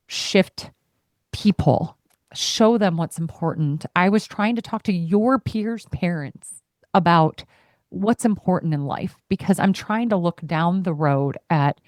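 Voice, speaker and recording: Passionate, expressive woman podcast host. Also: on the low side, dry recording, clean studio sound. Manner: passionate and expressive, heart on sleeve; playful, puts on voices when quoting her family. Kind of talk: casual conversation.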